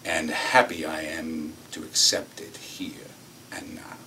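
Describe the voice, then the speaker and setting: An actor playing a cynical, swaggering corporate executive, telling his story in a theatrical monologue with deliberate pauses. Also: rumbling low, little pitch movement, pushed and bright, whispered, exaggerated voice. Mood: quietly menacing. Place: acting workshop room. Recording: distant mic with faint room noise.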